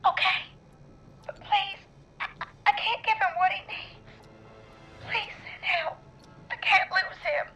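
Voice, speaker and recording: A woman's voice: very high voice, slow, pauses often, pushed and bright, faint music behind, heavily acted, poor audio. A woman playing an anxious, stammering young woman tending a wounded friend in a dramatic horror game. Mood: scared, pleading.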